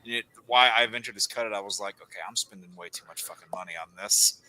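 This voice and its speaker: A man's voice: lowish voice, fast talker, sharp tone, rough recording. A man chatting casually on a group call, rambling and often restarting his sentences.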